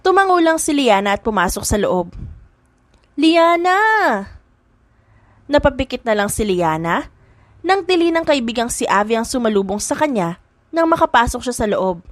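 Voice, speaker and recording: Higher-voiced Filipino woman narrating a story. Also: big pitch swings, a little fast, no room sound. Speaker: audiobook narrator. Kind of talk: scripted story narration in Tagalog drama.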